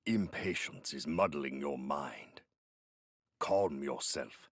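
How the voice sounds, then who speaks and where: A man playing a calm, wise, middle-aged yoga master in a video game, speaking in measured, solemn, philosophical phrases. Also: very deep voice, no room sound, clean studio sound, full character voice. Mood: gentle teaching. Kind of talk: video game story cutscene acting.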